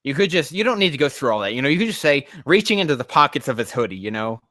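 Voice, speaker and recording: A man's voice: mid-range voice, sing-song, racing, sharp tone, no room sound, studio quality. A man on a casual livestream reading fan fiction aloud, breaking off into rambling, profane, exasperated commentary.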